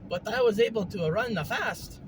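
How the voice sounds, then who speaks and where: A man's voice: sits high, normal speed, voice pushed up, close to an everyday voice. A man voicing an eager, naive, forgetful wanderer in a singsong cartoon-plumber style with simple, broken phrasing, recorded with vehicle noise behind. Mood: earnest, innocent.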